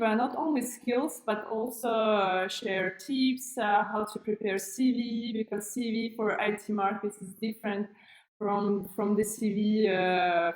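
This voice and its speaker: A woman's voice: mid-range voice, roomy sound, low-quality recording. A woman in her thirties from Kazakhstan giving a live online presentation through her webcam, talking with many fillers and restarts in phrasing. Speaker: webinar presenter, school founder. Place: room with plain wall, indoors. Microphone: webcam on a computer, video call framing.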